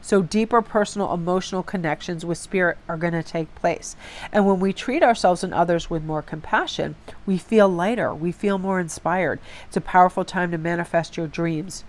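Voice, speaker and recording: A woman with a stuffy, congested voice from a head cold, reading tarot for her audience. She talks in a chatty, excitable way, full of spiritual encouragement, and her voice sits on the lower side. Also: moderate pace. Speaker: tarot reader and channel host. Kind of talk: casual tarot reading to camera.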